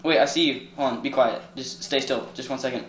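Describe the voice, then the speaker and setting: A woman hosting casual gameplay commentary, excitable, with squealing exclamations. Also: extremely low pitch, fairly quick. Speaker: gaming video host. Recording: echoey room with faint noise.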